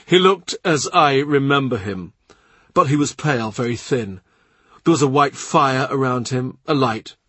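A troubled, brooding king, voiced by a man in an audiobook, carried higher and fuller than the narration. The tone is husky, set close in a dry booth. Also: a little slow, sharp tone, no echo. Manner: brooding, troubled, speaks at length. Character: weary anxious middle-aged male king.

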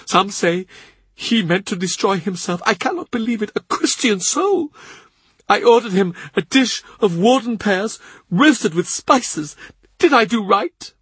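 A man doing a weeping, high-voiced old servant. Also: expressive, measured pace, a bit bright, breathy edge, no room sound, heavily acted. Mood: tearful. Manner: tearful, breathless storytelling. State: crying. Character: grieving male servant, weeping, tearful gentleman. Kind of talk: audiobook character reading.

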